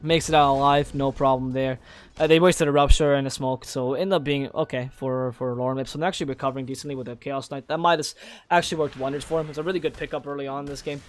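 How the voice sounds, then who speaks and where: A man giving play-by-play esports commentary, excitable and breaking into high shouts at big plays, with a naturally low voice he keeps higher for casting. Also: fast. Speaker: esports caster.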